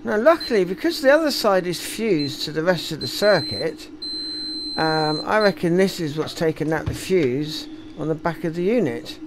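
A man's voice: fairly high pitch, animated, moderate pace. Man musing through hands-on repair, faint noise. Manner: musing aloud while working. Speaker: repair technician. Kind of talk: casual hands-on repair commentary. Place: indoor electronics workshop.

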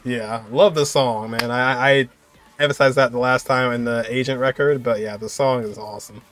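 A young man giving casual, excited reaction commentary with exclamations and slang. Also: mid-range voice, moderate pace, slight background noise. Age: young adult. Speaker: game reaction video host.

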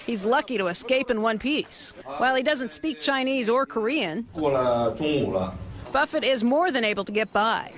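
A woman's voice: a little high, normal speed, a crowd in the background. A woman reporter reading scripted news documentary narration in a polished, upbeat voice with a light wry edge. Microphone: studio voice-over. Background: music underneath.

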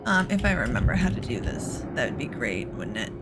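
A woman's voice: rumbling low, sing-song, a little fast, loud music behind the voice. A woman giving casual let's play commentary on a video game, rambling and thinking aloud as she goes.

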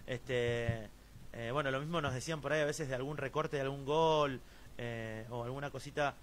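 A man's voice: medium pitch, steady tone, moderate pace, a little hiss or hum. A man with an Argentine accent hosts a local futsal news stream, reading scores and scorers with frequent fillers and restarts. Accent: Argentine Spanish. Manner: many fillers and restarts, reads long lists of scorers. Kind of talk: sports news roundup, reading results.

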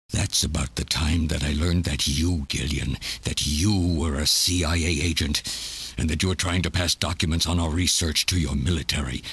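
An extremely low-voiced old mad scientist, bitter and self-justifying. He speaks in deliberate, theatrical monologue, like a lonely video game villain, with music playing underneath. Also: a bit bright. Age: older.

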